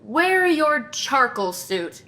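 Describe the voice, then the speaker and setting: Young female actor as a sharp, take-charge supernatural investigator; high, bright voice, deadpan teasing, comedy audio drama. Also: extremely slow, heavily acted. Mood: dry, uneasy. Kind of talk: audio drama acting, naturalistic comedic banter.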